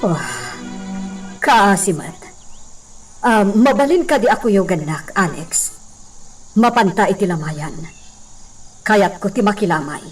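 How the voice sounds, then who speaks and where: A dignified, motherly mistress of an estate, acted by a woman for a radio drama. Her middle-pitched voice moves slowly and deliberately, with music underneath. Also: expressive, close to an everyday voice. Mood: solemn. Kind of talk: Ilocano radio drama acting.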